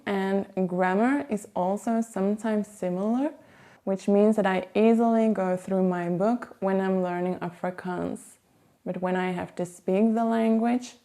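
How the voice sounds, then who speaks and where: A woman with a Belgian Dutch accent, a native Dutch speaker, hosting her language vlog in a careful, measured way. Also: on the low side, mellow. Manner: slow, careful, measured delivery. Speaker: language vlogger. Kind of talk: scripted vlog talk to camera.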